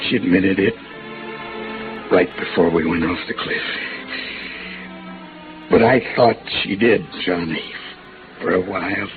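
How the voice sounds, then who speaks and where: An American actor playing a badly injured, dying man in 1950s radio noir, weak and halting, with labored breath and bitter resignation. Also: deep voice. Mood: bitter, hurt. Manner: halting, broken phrases. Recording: dull vintage radio recording, prominent music.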